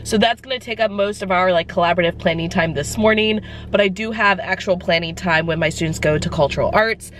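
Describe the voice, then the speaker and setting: A woman's voice: lowish voice, brisk, few pauses, fairly bright tone, slight background noise. A young woman who is a teacher, chatting upbeat to the camera in a casual vlog while she has a sore throat and is losing her voice. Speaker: elementary school teacher vlogger.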